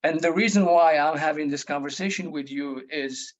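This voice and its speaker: A man in his thirties with a Moroccan accent, giving an informal talk in a halting way that repeats words. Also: a little high. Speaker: guest speaker, plant geneticist. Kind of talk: informal talk at an online meeting. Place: online video meeting.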